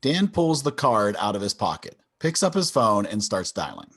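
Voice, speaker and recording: A man's voice: lowish voice, big pitch swings, normal speed, fairly bright tone, husky. A man reading stage directions as the narrator of a script. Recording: video call table read.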